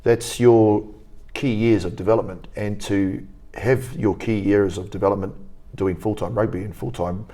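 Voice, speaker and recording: A man with a New Zealand accent speaks in a slightly low voice as a rugby academy coach. He talks earnestly in an interview, often restarting his sentences. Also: steady tone, moderate pace, warm tone.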